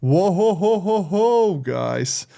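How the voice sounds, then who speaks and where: A man's voice: high voice, expressive, slow, vocal fry, mellow. A young man with a Czech accent, a native Czech speaker, hosting casual tech news commentary with frequent fillers and restarts. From Czech Republic. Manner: hesitant, many fillers and restarts.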